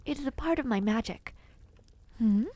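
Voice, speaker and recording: A woman playing a kind, dreamy witch in an audio roleplay, soothing and gently formal, easily sidetracked. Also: on the high side, normal speed, close to an everyday voice. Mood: chatty, fond. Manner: gentle, soothing, old-fashioned formal phrasing, easily distracted. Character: kind, dreamy peculiar witch, otherworldly and caring. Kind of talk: audio roleplay addressed to the listener.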